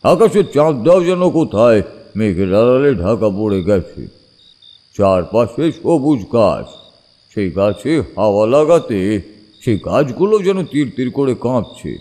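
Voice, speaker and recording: A man performs an older village uncle telling an eerie tale of his youth in an audio drama. His mid-pitched voice swings widely and expressively. The tone is dark and mellow, with suspense in the telling. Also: big pitch swings, a little slow. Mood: suspenseful. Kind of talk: dramatized horror narration.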